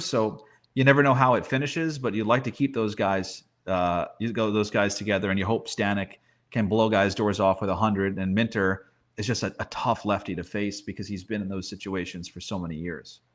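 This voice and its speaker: A man's voice: lowish voice, fairly bright tone. A man giving casual sports analysis as a guest, thinking aloud and tossing in rhetorical questions.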